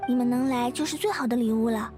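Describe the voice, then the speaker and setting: A woman playing a high-voiced gentle young woman. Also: fast, over music, natural voice. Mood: warm, reassuring. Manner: polite, soft-hearted, earnest. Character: gentle, modest, friendly young woman dancer. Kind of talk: anime game voice acting.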